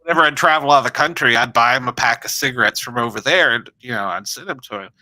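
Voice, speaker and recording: Male co-host, very bright tone, dry close sound, relaxed joking chat. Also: mid-range voice, fast, dry recording. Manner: easygoing, jokey, laughs often. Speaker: photographer. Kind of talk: casual chat.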